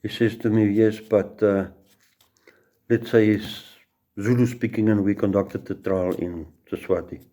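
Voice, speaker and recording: A man with a South African Afrikaans accent teaches law in a loose, storytelling way, often circling back and repeating himself. His voice sits low and sounds mellow and warm. He is middle-aged. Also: fairly even intonation, a little slow. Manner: storytelling, repeats words and restarts. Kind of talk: informal lecture with anecdotes.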